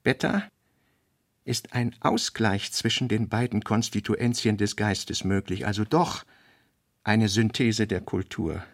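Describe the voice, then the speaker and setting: A man's voice: lowish voice, fairly even intonation, a little slow, dry recording. Man reading literary prose in a dry studio. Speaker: narrator.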